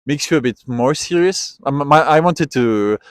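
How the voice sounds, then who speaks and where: A young man from Switzerland talks through his answers in an easy, unscripted way. His pitch sits in the middle for a man, and he stops and restarts as he picks his words. The sound is dry and close. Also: expressive, normal speed, smooth, no room sound. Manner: thoughtful, many restarts and repeated words. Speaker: tourist being interviewed. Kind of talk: casual street interview. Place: outdoors at a memorial site.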